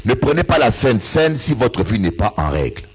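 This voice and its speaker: A bishop from Africa giving an impassioned church sermon, his voice rising in sing-song peaks and breaking into shouts. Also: mid-range voice, big pitch swings, moderate pace, husky. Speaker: bishop and pastor. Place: church service with an audience.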